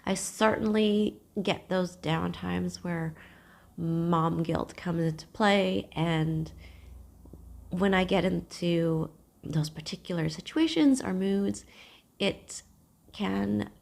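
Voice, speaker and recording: A young woman hosting a knitting podcast from home. She is hoarse from a cold and talks gently to camera, with thoughtful pauses. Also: on the low side. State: hoarse, losing her voice from a cold. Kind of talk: casual chat to camera. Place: at home, seated.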